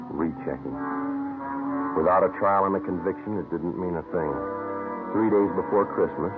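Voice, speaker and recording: An actor playing a terse, businesslike police detective in a 1950s radio crime drama, with clipped, matter-of-fact delivery. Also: male voice, low voice, natural voice. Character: terse, dry, businesslike male police detective narrating his case. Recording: tinny old radio recording, music behind.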